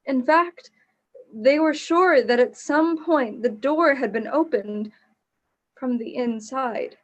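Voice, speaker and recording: Woman acting a solemn, high-voiced gothic witness. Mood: somber. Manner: solemn, measured, reflective. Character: somber witness recounting an eerie death. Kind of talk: gothic radio play narration.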